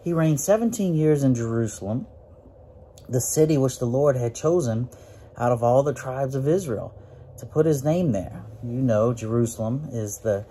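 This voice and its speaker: A man of about forty, a preacher, giving a casual Bible lesson to camera from a small room, with many fillers and rising pitch when he stresses a point. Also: expressive, moderate pace, warm tone, a little hiss or hum. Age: 40s. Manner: folksy, many fillers, rises in pitch on emphasis. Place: small room with green walls. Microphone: phone or webcam at close range, looking up.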